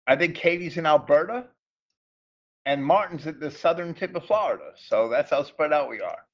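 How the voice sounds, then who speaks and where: A man's voice: a little high, expressive, moderate pace, dull audio. A middle-aged man with a New England accent, chatting casually as a podcast host on a group voice call, often repeating words as he goes.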